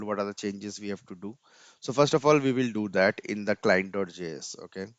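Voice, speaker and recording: Low-voiced man giving a software tutorial. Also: clean tone, no echo, highs cut off. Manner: step-by-step, often checks with "right?". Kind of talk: informal screen-share coding tutorial.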